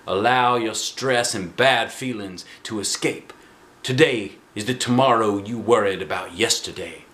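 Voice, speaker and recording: A man voicing a muscular, pompous self-defense guru who solemnly spouts wise nonsense in a drawn-out delivery. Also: on the low side, fairly bright tone, reverberant.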